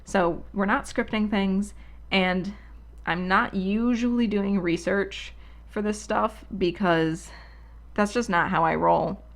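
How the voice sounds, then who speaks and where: A woman hosting her own channel, talking unscripted and alone at her microphone in a soothing, relaxed, rambling and candid way. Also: mid-range voice, takes it slowly. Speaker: channel host. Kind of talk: unscripted casual monologue. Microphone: solo at her own microphone.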